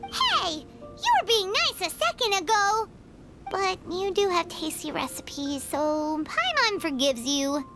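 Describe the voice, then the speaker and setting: A woman voicing a tiny, cheeky, childlike fairy companion in a video game, with a squeaky, exclamatory delivery. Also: very animated, slow, over loud music, full character voice. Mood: miffed, then friendly. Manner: speaks of herself in third person, exclamatory. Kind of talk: cartoonish video game voice acting.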